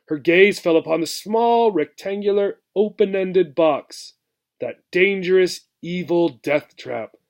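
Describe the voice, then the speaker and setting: A man reading a children's book aloud expressively, as a teacher would, pausing to explain. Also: fairly high pitch, a little mellow. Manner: explanatory, checks in with "right".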